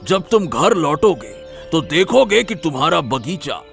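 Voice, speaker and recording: A man voicing a solemn old holy sage in an animated moral story, with slow, drawn-out pronouncements and a gravelly voice. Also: on the high side, soft background music, full character voice. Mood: solemn, benevolent.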